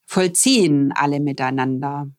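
A middle-aged woman with a fairly low voice hosts a podcast interview. She sounds friendly and formal, and she pauses often on fillers as she leads her guest through questions. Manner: warm, formal address with frequent fillers. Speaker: managing director hosting the podcast.

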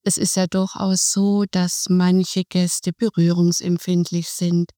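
Woman, middle-aged, podcast guest, calm and measured, mellow warm voice, dry sound. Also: lowish voice, no echo. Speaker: hospice volunteer, guest. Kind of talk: podcast interview.

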